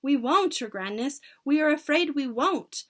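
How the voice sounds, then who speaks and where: A woman's voice: sits high, lively intonation, unhurried, a bit bright, pitched above the performer's own voice. A woman voicing fawning, adoring witches in a storybook reading, exclaiming and chanting their praise. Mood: meek.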